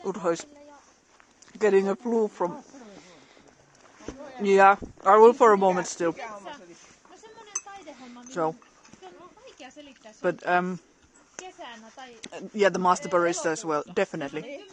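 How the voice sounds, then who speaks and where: A woman's voice: fairly low pitch, takes it slowly, pauses often. A middle-aged woman live-streaming outdoors in a snowy forest, chatting casually with viewers and laughing often.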